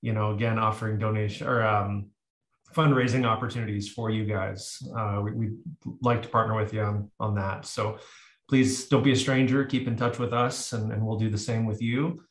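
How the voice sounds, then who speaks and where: A man hosting a club meeting, wrapping up casually with a relaxed, friendly manner and some fillers. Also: on the low side, normal speed. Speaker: meeting host. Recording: echoey room.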